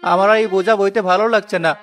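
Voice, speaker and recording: A poor, honest porter acted by a man speaks in a high male voice. He is earnest, proud and plaintive, like a wronged humble hero in a cartoon folk tale. Music plays behind him. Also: flat delivery, racing, lightly acted. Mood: despairing. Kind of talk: Bengali cartoon moral tale dialogue.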